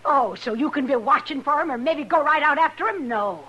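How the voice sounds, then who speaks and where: An actress playing a hard, defiant, vengeful frontier widow in a 1950s radio western, with blunt, accusing, folksy rural speech. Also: female voice, high-pitched, a little fast, pushed and bright, dull audio. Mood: bitter, threatening.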